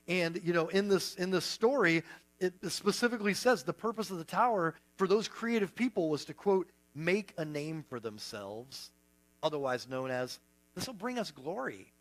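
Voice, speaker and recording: Male pastor, slightly higher voice, chatty sermon delivery with lots of "you know" asides. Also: animated, normal speed, rough recording.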